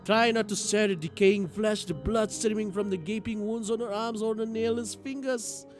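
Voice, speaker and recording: Guy with a high voice narrating a visual novel, theatrical, bit of music behind. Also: moderate pace. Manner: expressive, theatrical reading. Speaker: streamer playing a visual novel. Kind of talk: dramatic read-aloud narration with live reactions.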